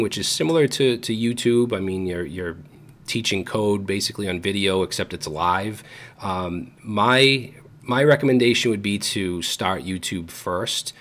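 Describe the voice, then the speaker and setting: A man in his thirties with a Boston accent, talking casually to camera from his home studio, relaxed with frequent fillers and restarts. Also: low-pitched. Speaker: YouTube coding educator. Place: home studio room with gaming chair.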